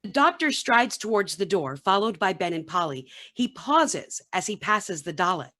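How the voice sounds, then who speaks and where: Woman, narrator, middle pitch, scripted narration, radio drama stage directions. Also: sing-song, moderate pace, fairly bright tone.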